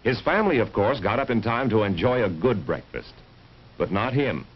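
A man's voice: fairly low pitch, big pitch swings, moderate pace, breathy edge. A man narrating a vintage 1950s educational film in a scripted, dramatic storytelling manner with rhetorical emphasis. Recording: muffled, hissy old film soundtrack.

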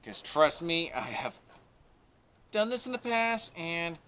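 A man's voice: a little high, big pitch swings, slow, bright, forceful tone. A man giving a casual, offhand hands-on tutorial to camera, recorded outdoors from a short distance. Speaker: DIY vlogger demonstrating an install. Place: outdoors beside a pickup truck. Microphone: camera set up a short distance away. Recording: noisy outdoor camera audio with clicks.

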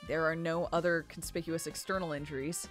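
A woman with a fairly low voice co-hosts a video game playthrough. She reads dialogue in a measured, matter-of-fact way and adds casual comments, over faint game music. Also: little pitch movement. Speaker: let's play co-host.

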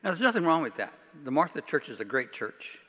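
Bright-voiced man preaching in a reverberant hall. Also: fairly high pitch, sing-song, brisk. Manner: folksy, dry-humoured asides. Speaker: pastor leading a workshop. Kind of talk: informal church teaching talk to an audience. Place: large hall, speaking to a conference audience. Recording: reverberant hall, long echo.

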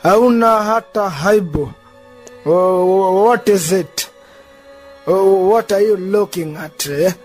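A man's voice: sits high, slow pace, a little mellow, soft background music. A man playing a belligerent drunken husband in a radio drama, stammering and quarrelsome, with a Kenyan accent.

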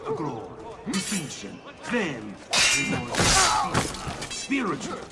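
Sneering, slow-talking villain under loud music. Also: male voice, sits high, huge pitch swings, distant mic, exaggerated voice. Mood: contemptuous, ranting. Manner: theatrical, contemptuous, drawn-out delivery. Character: sneering, ranting villain taunting opponents in combat. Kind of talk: video game voice acting.

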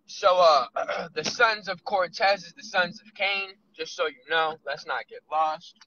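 A man in his thirties vlogging from inside a car, musing loosely with drawn-out asides. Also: high voice, pushed and bright, slight background noise. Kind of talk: casual rambling monologue. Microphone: phone camera on dashboard. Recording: lo-fi phone audio.

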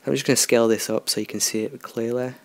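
A man talking through a software tutorial in a relaxed, unscripted way, thinking aloud as he works. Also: medium pitch, quick pace, dry recording. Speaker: tutorial host. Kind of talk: screen-recorded software tutorial.

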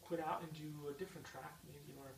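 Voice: mid-range voice, steady tone, brisk. A middle-aged parent chatting casually and coaching a child through a video game in a patient, encouraging way. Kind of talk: casual chat while watching gameplay. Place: indoor room at home with a TV. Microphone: distant camera mic. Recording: noisy, echoey room, far from mic.

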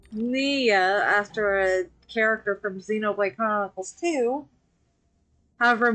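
A woman streamer talks casually while she plays a game with friends listening in. She rambles, giggles often and drifts into filler words between thoughts. Her voice sits at an ordinary middle pitch. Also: a bit bright. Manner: chatty, giggly, trails off with fillers. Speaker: VTuber streamer. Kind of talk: casual gameplay commentary.

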